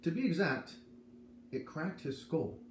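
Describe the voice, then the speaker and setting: A man's voice: fairly high pitch, expressive, measured pace, voice pushed up. Man reading as a grave, kindly, careful doctor. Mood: grave explaining. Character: grave, kindly male doctor with greying hair. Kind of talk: read-aloud of a children's novel. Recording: roomy echo, noticeable background noise.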